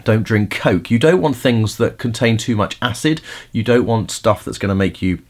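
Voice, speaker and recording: A man's voice: fairly low pitch, normal speed. Chatty man giving vocal tips. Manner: chatty, many fillers and restarts, laughs at own jokes. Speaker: podcast host and vocal coach. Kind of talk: casual spoken vocal tips.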